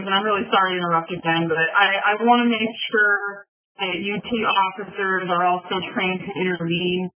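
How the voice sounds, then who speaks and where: Careful, questioning faculty voice, phone-like call audio. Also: medium pitch. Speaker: education faculty participant. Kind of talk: town hall discussion.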